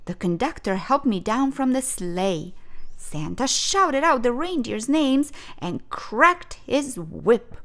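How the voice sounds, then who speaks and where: Animated, slow-paced woman reading a storybook. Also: fairly high pitch. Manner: animated, deliberate, dramatic pauses. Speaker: storyteller, host of a read-aloud show. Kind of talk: expressive children's storybook read-aloud.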